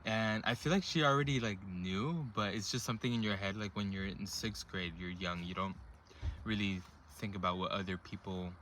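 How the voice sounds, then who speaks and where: Low-voiced young man, rambling vlog talk. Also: moderate pace, a little hiss or hum. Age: 20s. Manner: relaxed, rambling, filler-heavy talk. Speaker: vlogger. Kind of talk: casual personal storytelling to camera.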